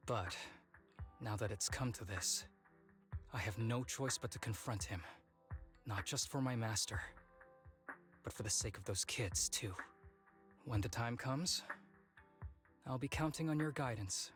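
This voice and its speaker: A man's voice: medium pitch, measured pace, pauses often, a bit bright, natural voice. A man voicing an easygoing young fighter with a hidden painful past in a video game, breezily downplaying serious things. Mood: resolute. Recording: background music under the voice.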